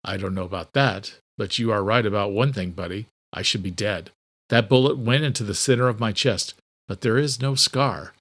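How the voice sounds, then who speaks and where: A man's voice: lowish voice, moderate pace, fairly bright tone. A man voicing a wry, tough long-lost brother in an audiobook, speaking bluntly and informally. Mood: matter-of-fact. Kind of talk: audiobook character dialogue.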